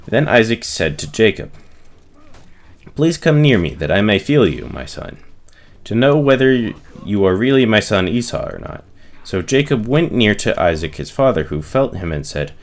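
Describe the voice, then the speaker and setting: A man reading the Bible aloud from the text, giving the dialogue expressive emphasis in his own voice. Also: on the low side. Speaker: reader.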